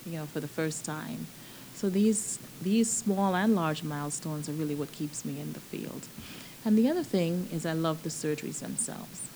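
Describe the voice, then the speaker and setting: A woman surgeon in her forties, answering interview questions in an animated, emphatic way. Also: deep voice, a little hiss or hum, poor audio. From Bahamas.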